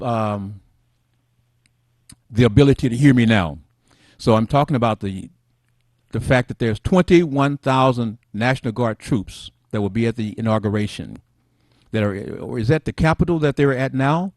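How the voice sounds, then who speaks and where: A middle-aged man hosting a casual talk show, soft-spoken and rambling, who addresses his viewers as family. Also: mid-range voice, animated, a little slow, dry recording. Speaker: host.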